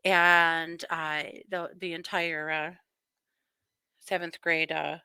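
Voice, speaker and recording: A woman's voice: deep voice, little pitch movement, slow pace, bright, forceful tone, clean tone, no echo. A woman hosting a show with casual, wry and mocking true crime commentary. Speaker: host.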